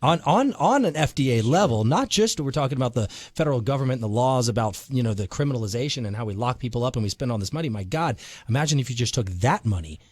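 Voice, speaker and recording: Male co-host, quick-talking, playful, dry studio sound. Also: medium pitch, no echo. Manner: fast, joking, animated. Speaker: talk show co-host. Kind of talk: casual studio chat.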